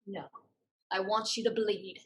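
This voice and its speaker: Slow, tough female pirate fighter acted by a woman. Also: mid-range voice, fairly even intonation, fairly bright tone, close to an everyday voice. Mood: defiant. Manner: tough, terse. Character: fierce, defiant female pirate swordfighter. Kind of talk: staged play reading.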